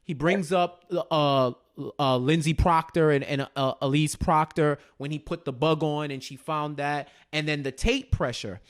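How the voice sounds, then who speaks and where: Emphatic man with a higher-pitched voice. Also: measured pace, hardly any pauses. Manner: animated retelling, repeats phrases for emphasis. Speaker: co-host of a TV recap show. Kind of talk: casual recap chat.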